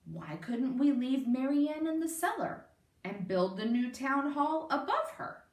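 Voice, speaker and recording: A woman voicing an eager little boy full of bright ideas in a picture-book reading. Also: sits high, sing-song, measured pace, pitched above the performer's own voice, heavily acted. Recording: roomy, distant microphone.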